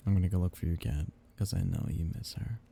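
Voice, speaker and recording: A man playing a tender, possessive vampire count in an intimate ASMR roleplay, speaking in soft, teasing murmurs with a husky, gravelly voice. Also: deep voice, fast talker, exaggerated voice. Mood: tender, soothing.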